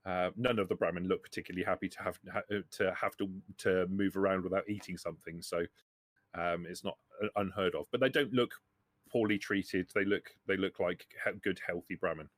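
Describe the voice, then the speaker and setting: A man running a tabletop roleplaying game as game master, narrating in a halting way. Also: deep voice, steady tone, fairly bright tone, dry recording.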